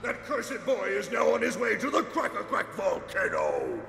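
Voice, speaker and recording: Gravelly echoing furious demon overlord. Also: male voice, wildly swooping pitch, moderate pace, bright, forceful tone, exaggerated voice. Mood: furious, scornful. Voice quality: gravelly, booming. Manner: contemptuous, commanding. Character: furious domineering demon overlord berating his henchman. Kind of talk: cartoon villain acting. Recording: cavernous reverb, faint music behind.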